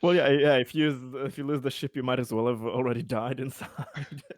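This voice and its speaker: A man's voice: mid-range voice, steady tone, a little fast, no echo, studio quality. A man playing in a tabletop game, chatting casually, stammering and laughing easily. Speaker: player.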